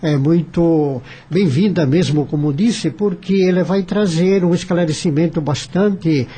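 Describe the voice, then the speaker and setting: A man's voice: fairly high pitch, unhurried, warm tone, breathy edge. A Brazilian man giving commentary as a radio panel guest, in formal wording with some hesitation.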